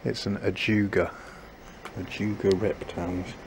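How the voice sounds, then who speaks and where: Low-voiced man musing in his garden. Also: little pitch movement, unhurried, darkish tone. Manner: musing, self-doubting, chatty, trails off. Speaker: gardening vlogger. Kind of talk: casual thinking-aloud narration while gardening. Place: small backyard garden outdoors. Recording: noisy outdoor ambience.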